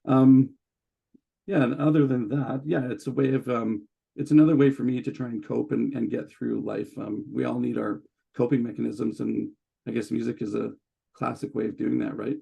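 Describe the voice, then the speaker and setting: 40s guy, psychologist guest, super gravelly voice, laid back, lots of ums. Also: mid-range voice, moderate pace, dull audio. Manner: relaxed, hesitant, many fillers. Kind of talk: casual conversation.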